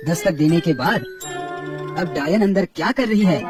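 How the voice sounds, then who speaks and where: Male narrator, fairly high voice, scripted children's horror storytelling, light music behind. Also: moderate pace.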